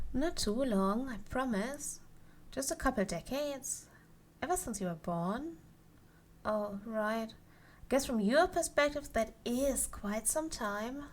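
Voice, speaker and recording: A woman performs a yandere Christmas elf in an audio roleplay, her voice a little high. She is playful and sweet on the surface, coyly menacing and possessive underneath, and she lingers over her phrases as she talks straight to the listener. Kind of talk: ASMR roleplay monologue to the listener.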